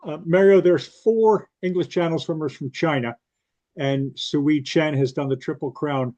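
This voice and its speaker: A man's voice: on the high side, normal speed, darkish tone, lo-fi sound. A man hosting an interview, explaining background to viewers between his questions, with frequent "uh" and "um". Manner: explains context for viewers, filler-laden. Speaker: interview host.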